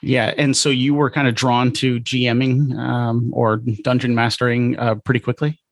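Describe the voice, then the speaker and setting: A man's voice: medium pitch, level tone, normal speed, dry recording. A man in his forties hosting an interview podcast. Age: 40s. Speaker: podcast host.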